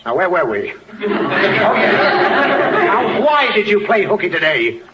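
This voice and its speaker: A man's voice: high-pitched, huge pitch swings, takes it slowly, a loud crowd, full character voice. A man playing an exasperated, easily riled father in a 1940s radio comedy, scolding with theatrical bluster. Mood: indignant. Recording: muffled, hissy old radio broadcast.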